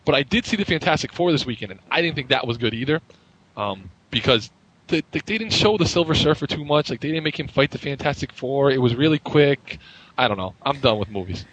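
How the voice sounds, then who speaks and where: A man co-hosting a podcast in casual banter, blunt and animated, laughing easily. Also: mid-range voice, brisk, slightly rough, low-quality recording. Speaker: podcast co-host.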